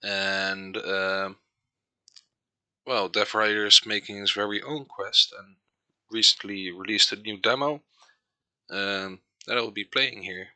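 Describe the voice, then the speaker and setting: A man with a Dutch accent, a native Dutch speaker, giving casual let's play commentary and reading game text aloud, halting with many hesitations. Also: lowish voice, fairly even intonation, sharp tone, dry recording.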